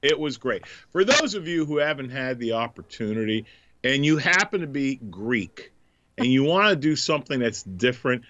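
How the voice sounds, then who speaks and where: A man's voice: mid-range voice, sing-song, moderate pace, bright, forceful tone, highs cut off, slight background noise. A man hosting a comedy podcast, talking casually with a stuffed-up nose from a cold, jokey and full of fillers. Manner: chatty, jokey, many filler ums. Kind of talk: casual radio chat.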